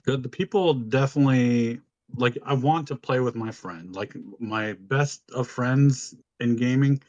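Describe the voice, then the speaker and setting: A man with a deep voice co-hosting a podcast, telling stories in an informal, casual way and swearing freely. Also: a little slow, a little mellow, no room sound, dull audio. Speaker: podcast co-host. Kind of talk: casual chat, storytelling.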